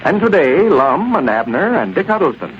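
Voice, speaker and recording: A man's voice: fairly high pitch, very animated, normal speed. A man announcing in a folksy, chatty 1940s radio commercial style. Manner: folksy, chatty sales talk. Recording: dull old radio broadcast with faint hiss.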